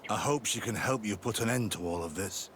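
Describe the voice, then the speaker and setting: An actor playing a remorseful, dutiful sergeant in a video game, speaking earnestly and soberly. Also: male voice, fairly low pitch, brisk, fairly bright tone, slight background noise, close to an everyday voice. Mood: contrite, earnest. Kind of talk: scripted video game acting.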